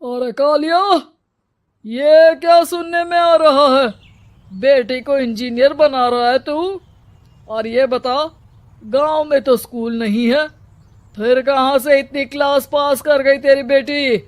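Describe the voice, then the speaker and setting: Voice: sits high, steady tone, dry recording. A performer voicing a stern, domineering village headman in a story, with a commanding, scolding manner. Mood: suspicious, mocking. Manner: commanding, scolding, slow deliberate delivery. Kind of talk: dramatized moral story acting.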